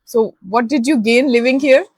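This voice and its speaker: Brisk woman interviewer, higher voice. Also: no echo. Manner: asks short probing questions, sums up answers. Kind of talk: street interview.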